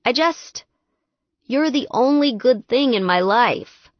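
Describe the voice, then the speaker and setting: A woman's voice: fairly high pitch, expressive, takes it slowly, dry recording, lo-fi sound, voice pushed up. A woman voicing a lovesick, clingy young man in an audiobook, earnest, pleading and gushing. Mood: needy, pleading.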